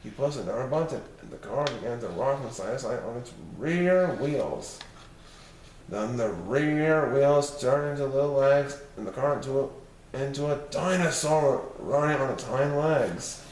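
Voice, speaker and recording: A man's voice: medium pitch, animated, a little slow, darkish tone. A man reading a children's story aloud as narrator. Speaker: storybook reader. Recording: roomy, distant mic, faint noise.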